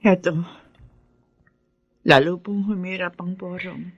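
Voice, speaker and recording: A woman's voice: lowish voice, slow pace, dry recording, highs cut off. A woman in a Filipino radio drama playing an earnest, emotive, self-sacrificing mother who struggles to raise her children. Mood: weary, respectful. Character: struggling, self-sacrificing widowed mother of five, market vendor.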